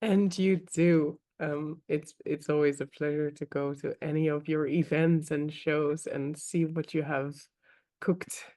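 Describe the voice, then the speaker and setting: A woman hosting an interview podcast, talking casually and hesitantly, with many pauses and repeated words. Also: low voice, fairly even intonation, dark tone, no room sound. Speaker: podcast host.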